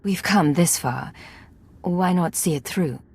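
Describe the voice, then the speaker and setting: A low, husky secret agent. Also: female voice, a little mellow, exaggerated voice. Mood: gentle, resolute. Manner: measured, formal, controlled. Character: composed, resolute female imperial secret agent.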